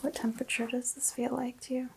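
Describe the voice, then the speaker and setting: A woman role-playing a medical examiner in soft-spoken ASMR, murmuring gentle instructions and often slipping into whispers. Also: fairly high pitch, level tone, quick pace, background noise.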